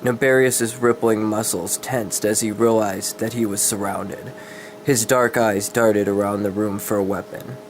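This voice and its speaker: A man's voice: on the low side, fairly even intonation, unhurried, rough recording. A man giving a dramatic reading of fantasy horror fiction, stumbling over words and correcting himself. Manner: stumbling, self-correcting delivery. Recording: clear background noise.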